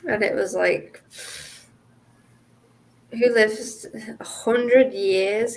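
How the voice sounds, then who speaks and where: A woman's voice: mid-range voice, low-quality recording. Young woman rambling in a group chat, unsure of herself, giggly. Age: 20s. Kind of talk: casual chat on a group call.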